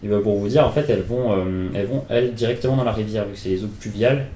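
A man's voice: on the low side, fast talker, mellow, reverberant, recorded from a distance, a little hiss or hum. A man interviewing passers-by in the street, explaining at length with many hesitations. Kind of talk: street vox pop interview.

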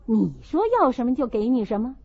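A woman's voice: fairly high pitch, sing-song, a little fast, gravelly, breathy edge, dull audio, heavily acted. A woman voicing a rich husband in an audiobook, cajoling and grumbling by turns. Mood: coaxing.

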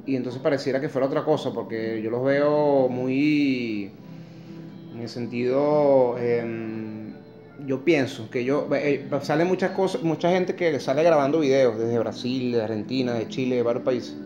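A man's voice: mid-range voice, unhurried, music in the background. A Venezuelan man in his forties rants into a phone held close in his kitchen, blunt and indignant, dropping curses and sarcastic jabs. His voice sits mid-range and plain. The room around him rings with a bouncy echo. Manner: indignant, blunt, swears, sarcastic mocking asides. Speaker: vlogger. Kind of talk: angry opinion rant to camera. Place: kitchen at home indoors. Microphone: phone camera held close.

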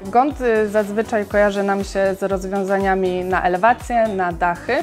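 Calm woman architect explaining her design. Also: mid-range voice, moderate pace, faint music behind. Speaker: interior architect, guest.

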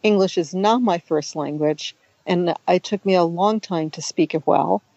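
A middle-aged woman, a native Hungarian speaker raised in the United States, talking about her writing in a relaxed, chatty interview with many restarts and asides. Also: fairly low pitch, normal speed, gravelly, dry recording, lo-fi sound. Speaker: author and guest.

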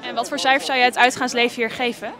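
A young woman asking brisk, upbeat vox pop questions at an outdoor festival, using a handheld mic. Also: a little high, fairly even intonation. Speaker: street interviewer. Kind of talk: vox pop street interviews. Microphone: handheld reporter mic. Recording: loud crowd and live music outdoors. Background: live music and festival crowd.

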